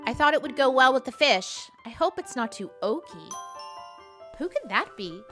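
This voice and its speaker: A woman's voice: high voice, big pitch swings, normal speed, bright, forceful tone, soft background music, full character voice. A woman playing a stern, exasperated section chief of a spy agency in a comedic audio drama. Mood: pleasant. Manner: curt, exasperated.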